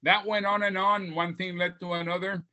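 A man in his seventies from Puerto Rico tells his life story as a podcast guest, heartfelt and reflective. His voice is bright and forceful, and sits somewhat high for a man. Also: level tone. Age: 70s. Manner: heartfelt, reflective, speaks slowly. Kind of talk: reflective storytelling interview answers.

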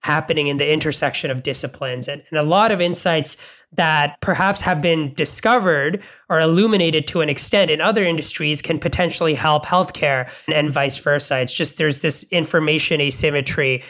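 Brief: host from Bangladesh, mid-pitched, enthusiastic and conversational. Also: brisk, fairly bright tone. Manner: enthusiastic, thinks aloud with repeated words. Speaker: podcast host. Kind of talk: casual interview conversation.

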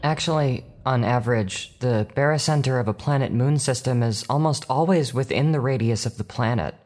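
A man's voice: mid-range voice, moderate pace, darkish tone, lightly acted. A man in an audio drama playing a minder who speaks in a precise, matter-of-fact way.

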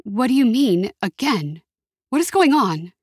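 Woman doing a shaken counselor lady, higher and tense, firing off worried questions. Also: very animated, measured pace, darkish tone, breathy edge, no room sound, clean studio sound, full character voice. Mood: alarmed. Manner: urgent, anxious questioning.

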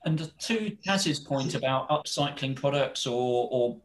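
A man's voice: mid-range voice, expressive, measured pace, low-quality recording. Man moderating a panel. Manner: conversational, asks questions with restarts.

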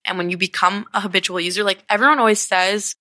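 A congested young woman from Connecticut hosts her own podcast, talking in a loose, rambling stream with plenty of filler words and swearing. Her pitch sits in an ordinary middle range, and the recording is dry and close, with no room sound. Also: fairly even intonation, quick pace, bright, forceful tone, no room sound. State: sniffly, congested. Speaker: podcast host. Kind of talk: casual solo podcast talk.

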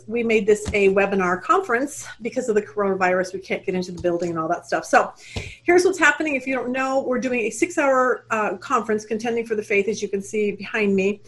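A woman hosts a Bible study conference over a video call. Her voice sits a little high, and she talks in a chatty, rambling way, with plenty of fillers and restarts. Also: slight background noise. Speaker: host of women's Bible study webinar. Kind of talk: casual webinar hosting.

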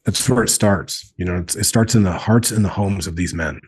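A man's voice: low voice, fairly quick, a little mellow, slightly rough. A man in his forties speaking earnestly in a casual podcast conversation, often repeating words for emphasis. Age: 40s. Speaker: guest, men's group co-founder.

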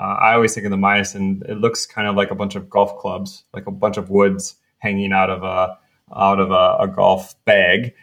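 Deep gravelly man hosting a podcast. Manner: relaxed, chatty, thinks aloud. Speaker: podcast host, researcher. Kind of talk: casual podcast chat.

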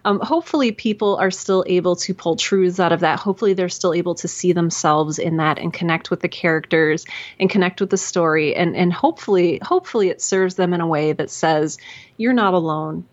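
A woman's voice: fairly low pitch, brisk. A woman giving earnest, effusive answers as a guest in a conversational interview, often acting out little quotes. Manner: earnest, effusive, animated self-quoting. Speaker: podcast guest, writer and mentor. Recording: dull, lo-fi sound.

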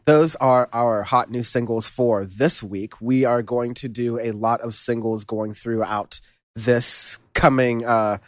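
A man hosting a music podcast in casual chat, relaxed and rambling with lots of fillers and restarts. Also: medium pitch, slow pace, no room sound, studio quality. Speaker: podcast host.

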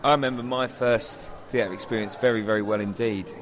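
A man's voice: lowish voice, steady tone, a little fast, crowd noise. A man from England co-hosting a theatre podcast, bantering and telling stories with mock-earnest drama. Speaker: podcast co-host, actor.

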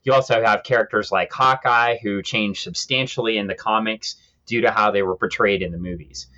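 A man's voice: on the low side, steady tone, a little fast, sharp tone, dull audio. A man co-hosting a podcast, explaining comics history in a casual, conversational way. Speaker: podcast co-host.

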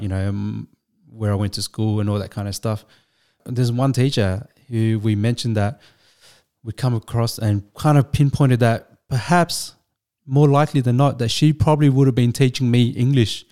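Mellow-voiced man, reflective guest. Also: mid-range voice. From Australia. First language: Vietnamese. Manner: measured, thoughtful, with frequent restarts and fillers. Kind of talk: reflective storytelling.

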